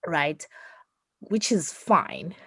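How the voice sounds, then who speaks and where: A woman's voice: low voice, big pitch swings, extremely slow, a bit bright, slightly rough, dry recording, clean studio sound. A woman from Zimbabwe co-hosting a podcast, chatting casually and animatedly, often laughing and ending her points with "right?". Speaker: podcast co-host. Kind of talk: casual chat.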